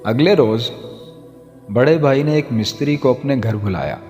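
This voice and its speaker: A man narrating a scripted moral story as a storyteller, in a measured, formal literary manner. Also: mid-range voice, darkish tone, highs cut off. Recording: long hall reverb, music underneath. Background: background music.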